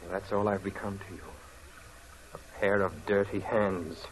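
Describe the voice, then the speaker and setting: A man's voice: low-pitched, level tone, normal speed, lightly acted. Actor as a brooding young stable boy, noisy old recording. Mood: hurt, bitter. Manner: intense, commanding. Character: brooding, proud young stable boy, possessive, wounded lover. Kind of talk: 1940s radio drama acting.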